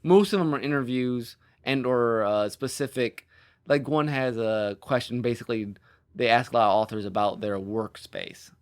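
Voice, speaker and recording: A man's voice: medium pitch, moderate pace. A man co-hosting a podcast as an author, chatting casually in a rambling, self-deprecating way.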